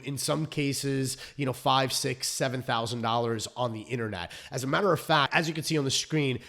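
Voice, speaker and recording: A hoarse man who founded a restoration company, explaining his trade in a chatty vlog with fillers and restarts. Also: medium pitch, fairly bright tone. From South Florida.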